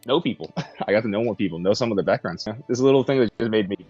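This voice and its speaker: A man's voice: fairly low pitch, rapid-fire, darkish tone, husky, dull audio, soft background music. A young American man in a casual interview, laid-back, chuckling often and hedging his words. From United States. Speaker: language program student.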